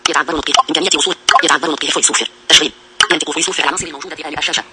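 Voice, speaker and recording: Rapid synthetic male screen-reader voice. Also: on the high side. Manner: flat synthetic reading of menu items. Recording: loud noise with clicks.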